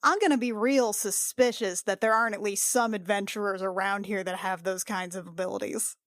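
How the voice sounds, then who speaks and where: A woman's voice: medium pitch, fairly even intonation, brisk, a bit bright, no room sound, studio quality. A woman playing a tabletop game, improvising casually in character with a blunt, informal manner.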